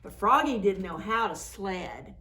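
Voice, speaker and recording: A woman doing an expressive, playful picture-book read-aloud for preschool children. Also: medium pitch, unhurried. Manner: playful, acts out sound words. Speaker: children's storytime reader.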